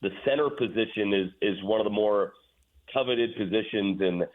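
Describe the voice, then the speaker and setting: A man's voice: fairly low pitch, moderate pace. Man on a tinny phone line. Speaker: radio play-by-play announcer, interview guest. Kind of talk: casual sports talk interview.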